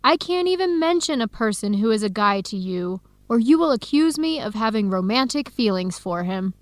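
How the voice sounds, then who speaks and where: An actress playing an earnest young American woman researcher in a radio drama, with clear, expressive, carefully enunciated speech. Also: fairly high pitch, moderate pace, dry recording, lightly acted. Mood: defensive, exasperated. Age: young adult. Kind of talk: scripted radio drama dialogue.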